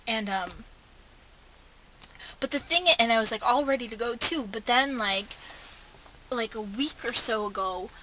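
A woman's voice: fairly high pitch, measured pace, pauses often, bright, forceful tone. Chatty young woman vlogging from her car. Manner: chatty, self-mocking, many fillers. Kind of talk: casual rambling vlog. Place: parked car. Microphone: camera held low at arm's length. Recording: noisy home camera recording.

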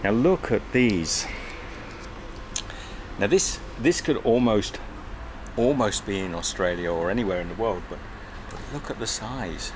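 A man's voice: fairly low pitch, lively intonation, a little slow. A man in his fifties chatting upbeat while vlogging his travels, filmed on a handheld camera on a sunny street and in a shop. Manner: chatty, upbeat, thinking aloud. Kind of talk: casual travel vlog. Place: outdoors on a sunny street and in a supermarket. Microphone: action camera held at arm's length. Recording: street noise behind.

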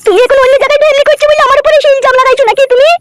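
A woman voicing a sharp-tongued, defiant village wife in a comedy cartoon, combative and foul-mouthed. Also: fairly even intonation, racing, very noisy. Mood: furious. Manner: shrill, nagging, combative. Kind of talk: amateur comedy cartoon dialogue.

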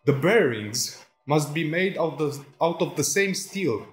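A man with a Romanian accent talks to camera in a relaxed, chatty way, often laughing at himself. His voice sits a little high for a man. He is recorded on a phone mic in an echoey workshop with faint music under him. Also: a little slow. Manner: chatty, laughs often, self-deprecating asides. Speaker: vlogger. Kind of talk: casual vlog to camera. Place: indoor workshop with tools and shelves.

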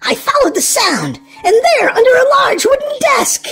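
A woman hosting a children's read-aloud, narrating in an exaggerated, sing-song, expressive way for small children. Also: very high voice, moderate pace, faint music behind. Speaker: host and reader of a children's read-aloud show. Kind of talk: animated children's storybook narration.

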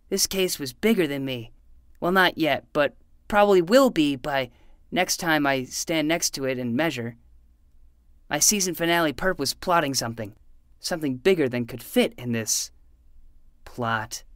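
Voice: medium pitch, smooth, no echo, lightly acted. In a close, dry recording, an actor plays a twelve-year-old boy who fancies himself a noir detective. He delivers tough-guy patter with great earnestness, in podcast drama style. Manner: self-serious detective patter. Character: twelve-year-old boy playing hard-boiled private eye, earnest. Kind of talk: fiction podcast acting with noir narration.

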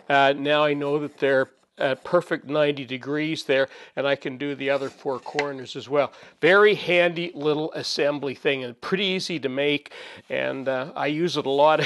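A man in his sixties hosting a woodworking channel, explaining his workbench tricks in a relaxed, chatty way with frequent chuckles, recorded in his home workshop. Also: on the high side. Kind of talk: casual workshop demonstration. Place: home woodworking shop, indoors.

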